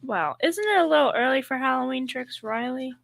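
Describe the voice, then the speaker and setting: A woman's voice: sits high, lively intonation, a little fast, creaky, a bit bright, no echo, full character voice. A young female performer playing a wry, long-suffering housewife and mother in a school old-time radio comedy. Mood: startled, wry. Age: young teen.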